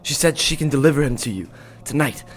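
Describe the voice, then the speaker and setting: Brief: actor as a hesitant male acolyte, mid-pitched, nervous. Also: lively intonation, fast talker, natural voice. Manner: hesitant, stammering. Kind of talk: audio drama acting.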